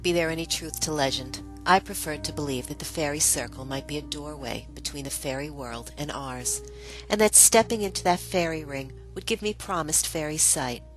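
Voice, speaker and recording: Female narrator, low register, scripted memoir storytelling, deliberate dramatic phrasing, light music bed underneath. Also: low-quality recording.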